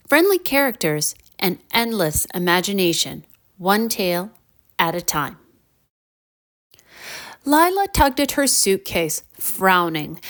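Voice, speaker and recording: Female narrator for children's bedtime tales, unhurried, animated, deliberate. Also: medium pitch. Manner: expressive, deliberate storybook delivery. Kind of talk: scripted children's bedtime storytelling.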